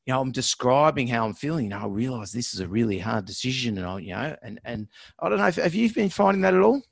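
A man hosting a vet podcast, talking in a relaxed, reflective way with a fairly monotone voice. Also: mid-range voice, fast, creaky, breathy edge, dry recording, studio quality. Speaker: veterinarian podcast host. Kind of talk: casual chat.

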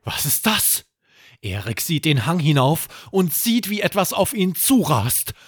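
Male podcast storyteller for children's bedtime tales; unhurried, very animated, dry recording. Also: a little high, breathy edge, no room sound. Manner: expressive, dramatic storytelling. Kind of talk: scripted children's bedtime narration.

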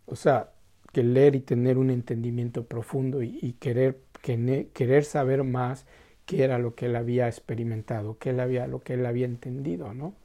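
A man telling a Zen story in a casual, halting way, full of restarts and tag questions. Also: mid-range voice, fairly even intonation, mellow.

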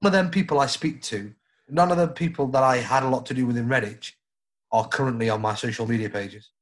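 A man's voice: mid-range voice, quick pace. A middle-aged man, a guest and former radio DJ, talking reflectively and fondly in casual chat. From Stoke-on-Trent, England. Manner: reflective, fond, calls the listener mate.

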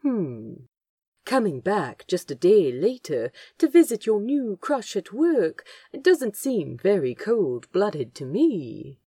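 A woman playing a gentle, smitten, politely teasing man in audio fiction, speaking with precise, soft phrasing. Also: medium pitch, big pitch swings, takes it slowly, breathy edge, no echo, clean studio sound, full character voice. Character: male Aziraphale, gentle, delighted, teasing, smitten know-it-all.

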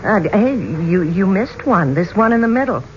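An actress playing an inquisitive, polite and poised woman visitor, expressive and curious, in a 1970s radio drama. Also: mid-range voice, moderate pace, lightly acted. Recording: muffled, hissy 1970s radio recording.

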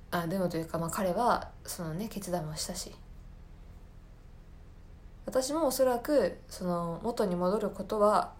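A woman hosting a podcast in a reflective solo talk, speaking earnestly and often pausing to search for her words. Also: medium pitch, darkish tone. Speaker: podcast host. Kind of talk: reflective solo talk, personal film commentary.

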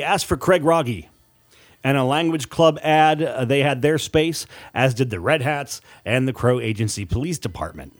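A man reading a scripted audiobook story as its author and narrator. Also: medium pitch.

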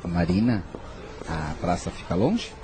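A man's voice: sits low, animated, a little slow, dark tone, slight background noise. An actor playing a conflicted married man, romantic yet anxious, in a Brazilian radio soap with a Brazilian accent. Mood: anxious, torn.

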